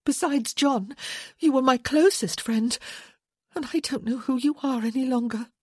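A woman's voice: fairly high pitch, lively intonation, a little slow, warm tone, breathy edge, no echo, clean studio sound, voice pushed up. A woman reading a storybook, voicing a hurt, angry young woman who speaks in an accusing, pleading way.

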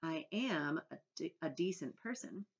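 A woman's voice: sits low, expressive, measured pace. A woman voicing a dignified, sorrowful female spider who speaks plaintively with sighs. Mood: mournful. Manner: sighing, plaintive. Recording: dull lo-fi audio.

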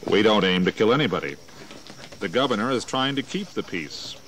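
A plain-spoken soldier of colonial times has a deep voice. He is matter-of-fact, in radio drama style, slightly distant with clear noise behind. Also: animated, fairly quick, a bit bright. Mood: reassuring. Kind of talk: historical audio drama acting. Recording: distant mic, noisy old recording.

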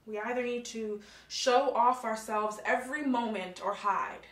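An actress playing a blunt, profane but caring young college friend. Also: female voice, fairly high pitch, a little slow, echoey room, natural voice. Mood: earnest. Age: young adult.

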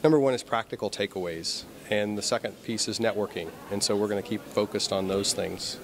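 A man's voice: on the low side, a little fast. A man in his forties, a committee chair, answering an interviewer matter-of-factly in a hotel conference lobby. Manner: matter-of-fact, planning-minded. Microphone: handheld interviewer mic. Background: crowd chatter.

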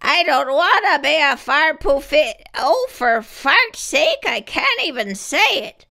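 Woman putting on high, indignant cartoon fart townsfolk. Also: big pitch swings, takes it slowly, gravelly, bright, forceful tone, heavily acted. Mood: proud, indignant. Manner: declaring, exaggerated.

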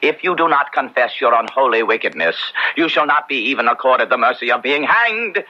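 An actor playing a cruel Puritan magistrate with archaic, theatrical diction in an old-time radio drama. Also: male voice, medium pitch, very animated, a little fast, highs cut off. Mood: menacing, gloating. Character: cruel, menacing Puritan magistrate of colonial times.

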